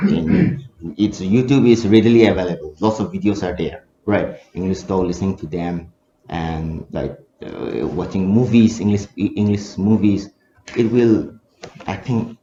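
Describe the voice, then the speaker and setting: Young Manipuri-accented man, low and mellow voice, halting research student in an echoey room. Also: slight background noise. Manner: hesitant, many fillers and rhetorical "right?". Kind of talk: academic discussion, answering questions. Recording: echoey room, dull lo-fi audio.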